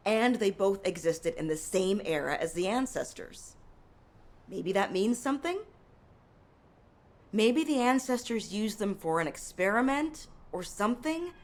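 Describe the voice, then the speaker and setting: An actress playing an earnest, curious excavation chief in a video game, with a conversational delivery. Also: female voice, mid-range voice, lightly acted. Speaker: excavation team leader.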